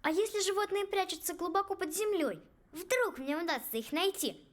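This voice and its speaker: A woman voicing an earnest, curious schoolgirl with a taste for adventure in an animated film. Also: very high voice, fairly quick.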